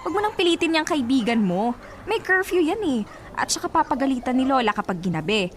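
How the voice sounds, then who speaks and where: A woman's voice: high voice, sing-song, fairly quick, a little hiss or hum. A woman acting a brash, fun-loving young office worker in a radio drama, teasing and exclamatory.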